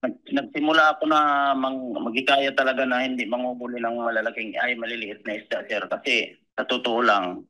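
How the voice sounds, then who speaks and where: Bright-voiced Filipino man, halting and polite. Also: mid-range voice, steady tone, rough recording. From Philippines. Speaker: commercial fisherman, interview guest. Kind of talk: conversational interview answers.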